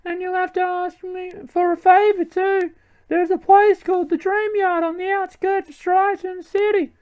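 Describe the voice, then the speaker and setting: A man voicing a friendly young woman game character in a strained falsetto, reading her dialogue aloud. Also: flat delivery, normal speed, highs cut off. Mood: helpful.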